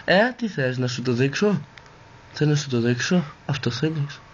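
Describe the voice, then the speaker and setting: A vain, foul-mouthed, sassy woman played by a man in a homemade parody dub. The voice sits fairly high and swoops in a campy, exclaiming way. The sound is muffled, with some noise behind it. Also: animated, close to an everyday voice. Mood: mocking, sassy. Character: sassy, vulgar, vain female character in a parody. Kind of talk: amateur comic parody dub. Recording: muffled, noisy home recording.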